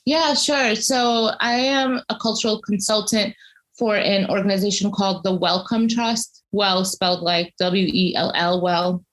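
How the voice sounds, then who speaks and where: A woman's voice: medium pitch, steady tone, unhurried. Articulate woman explaining her work in an interview. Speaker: interviewed cultural consultant and museum studies academic. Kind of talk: conversational interview answer, explanatory.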